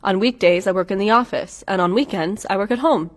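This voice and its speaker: Woman reading english practice lines slow and clear, lots of hall reverb. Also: medium pitch. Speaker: dialogue reader in English lessons. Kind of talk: scripted practice dialogue.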